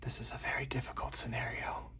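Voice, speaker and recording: Someone speaking in a breathy, deliberate, hushed whisper, in the style of an ASMR game playthrough with commentary. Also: distant mic. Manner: slow, deliberate, hushed delivery. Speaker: solo card game player and host.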